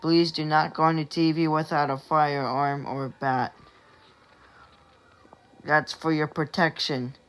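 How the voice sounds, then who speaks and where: A man gives an amateur emergency alert announcement, halting and repeating himself as he goes. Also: fairly high pitch, flat delivery, clean tone.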